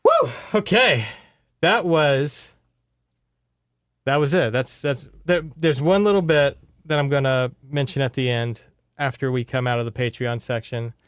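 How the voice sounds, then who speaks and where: A man's voice: mid-range voice, unhurried, clean tone, dry recording. A man with a clean, resonant voice co-hosts a podcast in a very dry-sounding space. He talks casually, recounting a book with wry, mock-grandiose humor. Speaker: podcast co-host. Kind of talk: casual chat, recounting a book's plot.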